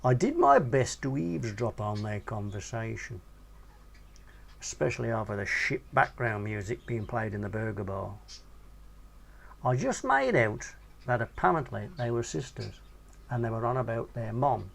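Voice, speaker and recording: A man's voice: normal speed, gravelly. A man reading his own crude first-person short story in a casual, slangy way. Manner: crude, casual narration with animated swings in pitch.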